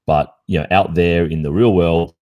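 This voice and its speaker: An Australian man in his early forties with an exceptionally low voice. He chats informally on a livestream, answering listeners' questions. His delivery is thoughtful, with pauses and the occasional hesitation. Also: fairly even intonation, dull audio. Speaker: ASMR roleplay streamer.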